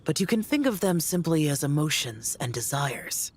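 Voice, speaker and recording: A woman voicing a stoic, youthful male immortal warrior in a video game, husky, solemn and measured, with formal phrasing. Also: deep voice, sing-song, slight background noise. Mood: solemn, reflective.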